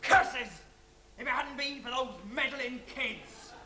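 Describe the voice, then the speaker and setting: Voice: high voice, slow, bright, forceful tone, reverberant, slight background noise, full character voice. A performer playing a blustering, theatrical villainous pirate captain with a hook, in a stage pantomime. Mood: gloating, cursing. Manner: theatrical, exclaiming. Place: live student stage show.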